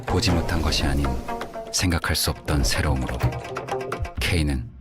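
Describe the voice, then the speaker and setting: Male promo voice-over, extremely deep, scripted, over loud plucked instrumental music. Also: rapid-fire.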